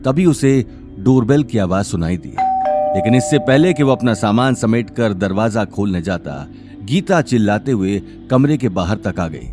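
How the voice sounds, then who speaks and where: A man's voice: on the low side, expressive, moderate pace, music in the background. A man narrating an audio drama series as a scripted, dramatic story.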